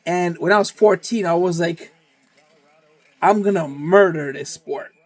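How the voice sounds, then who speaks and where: A man's voice: high-pitched, unhurried. Profane, rambling young man. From Canada. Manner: profane, rambling, repeats himself. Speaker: vlogger. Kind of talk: casual monologue.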